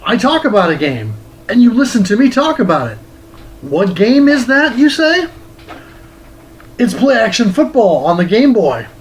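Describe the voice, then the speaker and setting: A middle-aged American man reading a scripted review as host, with a theatrical, deliberate delivery. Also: high-pitched, big pitch swings, slight background noise. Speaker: host of a retro game review series. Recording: music under the voice. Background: music.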